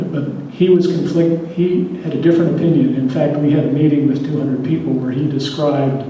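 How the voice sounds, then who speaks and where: A man giving a halting public comment at a community meeting, stumbling through fillers and restarts. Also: mid-range voice, warm tone. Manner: hesitant, many fillers and restarts. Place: large hall at a public meeting. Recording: echoey hall from far off, faint noise.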